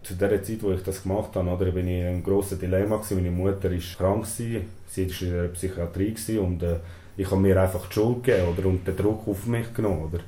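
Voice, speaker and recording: A man's voice: low-pitched, steady tone, fast, warm tone. A young man in his twenties from Switzerland with a Swiss German accent, his first language Serbian, answering interview questions hesitantly with many fillers and tag questions. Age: 20s. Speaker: prison inmate interviewee. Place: small storeroom in a prison. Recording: echoey room with faint ambience.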